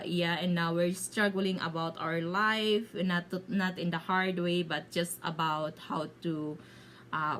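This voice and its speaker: A woman from the Philippines with a Bisaya Filipino accent, telling a personal story to viewers in a casual, halting way as she searches for words in limited English. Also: sits low, a bit bright, slight background noise, rough recording. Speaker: video blogger.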